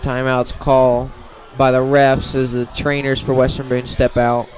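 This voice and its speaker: A man doing live radio play-by-play of a high school football game in clipped, descriptive phrases. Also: monotone, a little slow. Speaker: play-by-play sports commentator.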